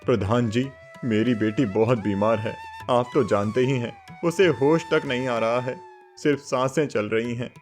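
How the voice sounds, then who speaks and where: A man's voice: mid-range voice, normal speed, a little mellow, natural voice. Man acting a desperate poor village father, music behind. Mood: desperate pleading. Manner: earnest, pleading. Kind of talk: Hindi cartoon moral story acting. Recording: music bed under the voice.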